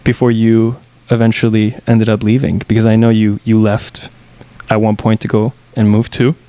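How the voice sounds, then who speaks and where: A man's voice: lowish voice, little pitch movement, moderate pace, mellow, low-quality recording. A young man in his twenties hosting a casual podcast chat on a headset mic, playful and laughing often, sometimes rambling. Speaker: podcast host.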